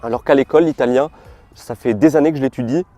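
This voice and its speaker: A man's voice: mid-range voice, fast, no echo, faint music behind. A young man from France talking casually to camera outdoors in a city park, recorded on a basic camera mic. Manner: relaxed, chatty, informal slang. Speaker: vlogger. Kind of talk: casual vlog talk to camera. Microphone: camera mic, filmed without full gear.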